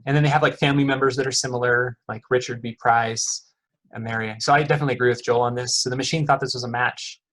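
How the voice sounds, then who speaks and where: A man's voice: mid-range voice, fairly even intonation, quick pace, a bit bright, rough recording. A middle-aged man, an economics professor, giving a casual lecture to students, friendly and chatty with lots of okays and uhs. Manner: friendly, quizzes the audience, many fillers like uh and okay.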